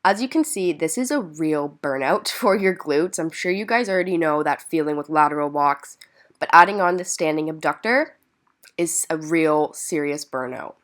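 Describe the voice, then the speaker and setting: A woman's voice: sits low, lively intonation, normal speed. A woman with a slightly stuffy, congested voice from being sick, narrating exercise instructions as a fitness vlogger in an encouraging, explanatory way. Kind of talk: instructional workout voice-over.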